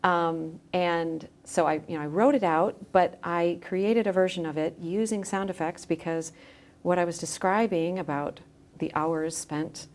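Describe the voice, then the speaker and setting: A woman's voice: fairly low pitch, steady tone, a little slow, darkish tone. A woman speaking as a guest in a conversational podcast interview, phrasing things hesitantly and letting thoughts trail. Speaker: guest, editor and audio creator.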